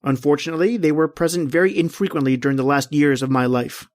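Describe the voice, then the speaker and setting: A male actor playing a formal, dutiful estate executor in an old-time radio drama, with deliberate wording. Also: on the high side, fairly even intonation, no room sound, clean studio sound, natural voice. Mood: formal, measured.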